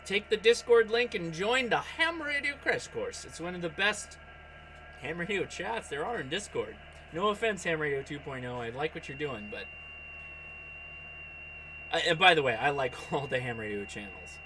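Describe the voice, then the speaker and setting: A man with a bright, forceful and slightly high voice hosts a casual hobby livestream. He is excitable, commenting aloud as he goes and talking straight to his viewers. There is faint noise behind him. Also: moderate pace, rough recording. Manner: excitable, reacts aloud, talks to viewers and contacts by name. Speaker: host of a ham radio livestream. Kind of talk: casual livestream chat and running commentary while operating radio.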